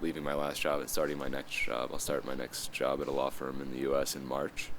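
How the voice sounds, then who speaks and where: A man's voice: rumbling low, flat delivery, brisk, a bit bright, a little hiss or hum. A man in his thirties answering interview questions, hesitant, with many fillers. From United States. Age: 30s. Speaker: volunteer interviewee.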